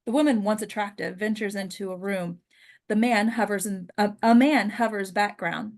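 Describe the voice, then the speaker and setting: A woman reading stage directions at a script table read as deliberate, descriptive dramatic narration. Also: mid-range voice, fairly even intonation, darkish tone.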